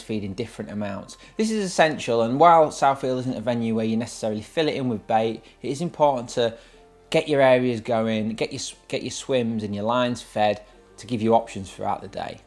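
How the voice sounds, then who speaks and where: A man with an English accent giving explanatory highlights commentary on a fishing match.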